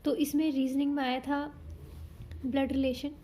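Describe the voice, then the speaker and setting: A young woman from India casually talking through her exam, a little hoarse from a sore throat, chatty and explanatory with frequent self-corrections. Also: high voice, level tone, moderate pace, warm tone, a little hiss or hum. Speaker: exam candidate reviewing her paper. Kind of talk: casual vlog talk.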